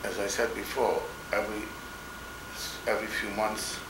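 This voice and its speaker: An older man from Poland giving oral history testimony in a slow, halting way, often repeating words. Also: fairly low pitch, flat delivery. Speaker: interviewee, Holocaust survivor. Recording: echoey room with background noise.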